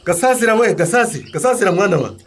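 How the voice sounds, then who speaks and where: An actor playing a delighted, excitable old village grandfather in a radio drama, often exclaiming and repeating himself. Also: high-pitched, rapid-fire, crowd noise. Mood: overjoyed.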